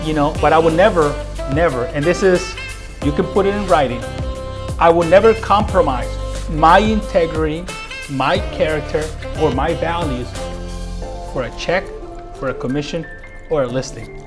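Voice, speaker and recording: A man's voice: a little high, moderate pace, music in the background. A middle-aged man from Mexico, a real estate agent telling his story in a casual interview, earnest and repeating phrases for emphasis.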